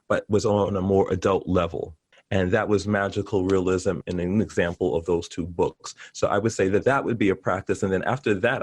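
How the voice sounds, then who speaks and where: Male interview guest, middle-aged, low voice, chatty and rambling, close dry recording. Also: a little mellow, no echo. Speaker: interview guest, artist and teacher. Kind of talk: casual interview chat.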